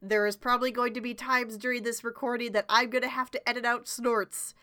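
A woman's voice: a little high, flat delivery, fairly quick, sharp tone. A young woman with a stuffy, congested voice from a cold, co-hosting a podcast in a chatty, self-mocking way with casual swearing. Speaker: podcast co-host.

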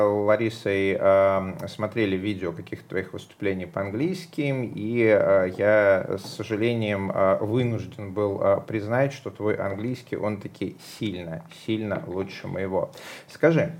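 Low-voiced male host, joking and informal. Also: unhurried. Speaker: podcast host. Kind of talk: casual conversation.

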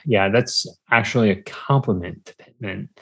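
An American man chatting casually as an interview guest, rambling haltingly and often trailing off. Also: on the low side, slightly rough. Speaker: podcast guest. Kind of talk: casual chat.

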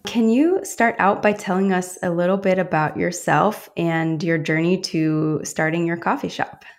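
A woman hosting a podcast, reading a measured scripted introduction and then asking thoughtful interview questions. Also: fairly low pitch, fairly even intonation. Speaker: podcast host.

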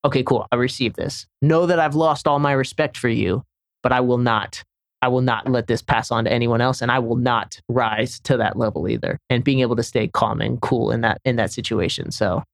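A man's voice: medium pitch, steady tone, normal speed, vocal fry, dry recording, clean studio sound. A young man from Senegal, a camera assistant chatting casually on a podcast, rambling with many restarts.

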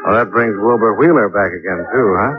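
An actor playing a sober, businesslike investigator in a hardboiled vintage radio drama, with a clipped, matter-of-fact delivery. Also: male voice, fairly low pitch, fairly quick, loud music behind the voice, lightly acted. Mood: casual, terse. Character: sober, businesslike male investigator narrating his case. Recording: muffled old radio broadcast.

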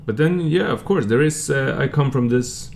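A man co-hosting a podcast in casual chat, explaining things in a halting, hesitant way. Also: medium pitch, vocal fry, a little mellow, a little hiss or hum. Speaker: podcast co-host.

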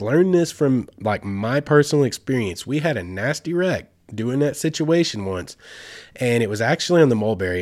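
A man's voice: mid-range voice, a little mellow, no room sound. A man congested with a head cold gives casual, rambling instructional talk as a host, in a folksy, informal way with slang. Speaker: host giving outdoor trip advice.

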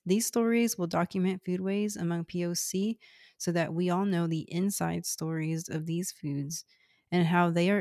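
A woman in her thirties, whose first language is Cantonese, reads a scripted podcast introduction in a measured, earnest way. Her voice sits low for a woman. The recording is dry and close, with no room sound. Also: a little mellow, no echo. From Houston, Texas, US. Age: 30s. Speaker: podcast host.

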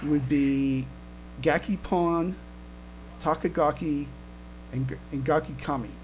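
A man hosting a casual podcast discussion, speaking haltingly and repeating words, over a noisy internet call. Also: on the high side, fairly even intonation. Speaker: podcast host.

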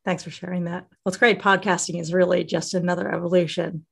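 Low, creaky-voiced woman interviewing on a podcast. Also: little pitch movement, fast. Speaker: guest podcast host, interviewer. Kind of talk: conversational interview.

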